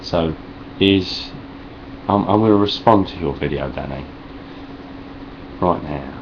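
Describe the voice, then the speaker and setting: Deep-voiced sweary Cockney Essex man. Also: slow, highs cut off, a little hiss or hum. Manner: deadpan, sarcastic, rambling, heavy swearing. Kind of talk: sweary deadpan vlog response.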